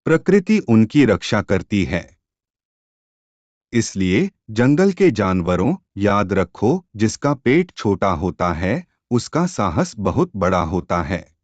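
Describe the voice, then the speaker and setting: Wise, preachy cartoon tailorbird, played by a man: measured moral teacher, expressive intonation, dry dull recording. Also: fairly low pitch, no room sound. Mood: solemn, preaching.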